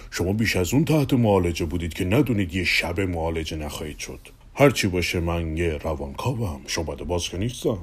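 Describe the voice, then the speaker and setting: A man voicing a calm, stern male psychoanalyst in an audio drama, speaking very deep and measured. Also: slightly rough. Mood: stern, patient.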